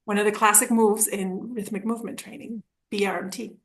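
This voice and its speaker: A woman's voice: mid-range voice, fairly even intonation, a little fast. A woman in her forties from Argentina, a podcast guest telling her story in a rambling, casual way. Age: 40s. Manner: rambling, restarts, acts out quoted dialogue with laughs.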